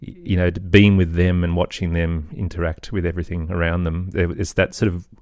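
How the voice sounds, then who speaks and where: A middle-aged man talking casually and reflectively as a podcast guest, thoughtful and tentative, often softening what he says. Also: low voice, flat delivery, fairly quick, dry recording, clean studio sound. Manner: hesitant, thoughtful, hedging with softeners. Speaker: podcast guest, children's audio storyteller. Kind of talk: casual reflective interview talk.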